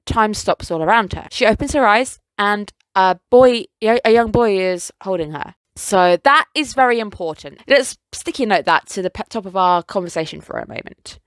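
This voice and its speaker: A young woman in a casual solo review, gushing as an excited fan and rambling through self-corrections. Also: medium pitch, normal speed, few pauses, no room sound, low-quality recording. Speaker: anime reviewer.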